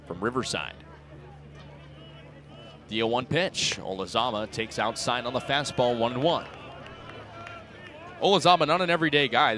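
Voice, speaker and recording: Man in his twenties, radio baseball play-by-play, mid-pitched voice, clipped descriptive calls, outdoor ballpark crowd behind. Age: 20s. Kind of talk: live radio sports commentary.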